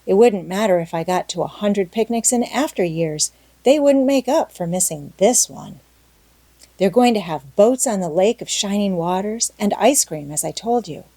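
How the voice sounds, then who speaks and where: A woman acts out a young orphan girl in an audiobook. She is talkative and dreamy, gushing with earnest drama. The tone stays soft and mellow, recorded very dry. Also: mid-range voice, animated, normal speed, no room sound. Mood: excited, dreamy. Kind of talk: audiobook character acting.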